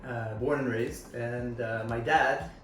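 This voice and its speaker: Middle-aged guest, measured, pauses often, mic set back in an echoey room. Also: male voice, mid-range voice, steady tone. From United States, Pennsylvania. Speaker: guest, parent. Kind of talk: casual interview answers. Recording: roomy, distant mic, faint music.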